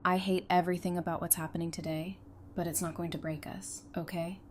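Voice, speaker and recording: Woman acting a defiant survivor gal, kinda low voice, blunt n intense, audio drama, bit of noise behind. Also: flat delivery, a little fast, natural voice. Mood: resolute. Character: defiant, sharp-tongued woman survivor, protective of her lover. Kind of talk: full-cast fan audio drama acting. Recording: clear background noise.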